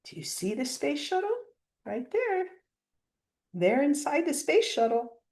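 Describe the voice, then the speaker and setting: A woman's voice: sits high, very animated, a little slow, a little mellow. An older woman reading a children's picture book aloud expressively, like a grandmother pointing out pictures to a child.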